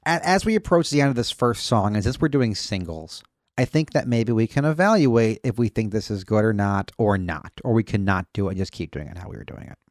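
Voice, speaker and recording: A man in his thirties with a bassy voice, co-hosting a podcast in casual, enthusiastic chat and often repeating his words. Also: lively intonation, brisk, darkish tone, no echo, clean studio sound. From West Michigan. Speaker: podcast co-host. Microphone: podcast studio mic.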